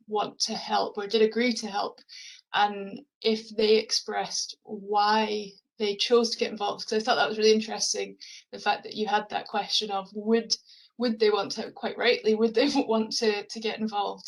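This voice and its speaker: Casting: woman questioner, medium pitch with vocal fry, flat melody, polite and tentative. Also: low-quality recording. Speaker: audience member asking a question. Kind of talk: casual question at an online talk.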